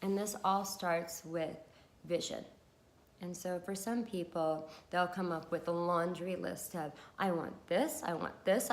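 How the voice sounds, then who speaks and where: A woman's voice: lowish voice, a bit bright. A woman with a congested voice from a cold, chatting casually and encouragingly to camera about wellness, with many fillers. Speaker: beauty vlogger and hypnotherapist.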